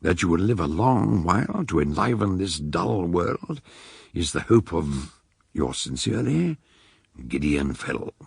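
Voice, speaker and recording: An actor playing a genial, learned detective doctor reading a letter aloud in a radio drama, with courtly, orotund phrasing and a gravelly voice. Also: male voice, deep voice, very animated, unhurried, a little mellow, no echo, studio quality, heavily acted. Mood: genial, wry.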